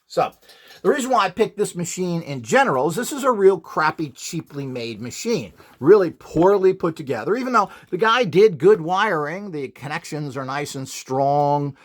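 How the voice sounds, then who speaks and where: A man with a fairly high voice and very lively, swooping intonation gives a rambling, informal lesson. He is blunt and opinionated, dropping sarcastic asides as he explains. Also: moderate pace. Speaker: instructor presenting a build tutorial.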